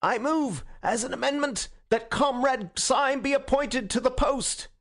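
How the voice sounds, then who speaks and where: A man voicing an excitable anarchist committee man in a storybook, speaking formally and eagerly. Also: very high-pitched, measured pace, pitched above the performer's own voice, full character voice. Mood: excited. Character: Witherspoon, wild-haired excitable male anarchist committee man.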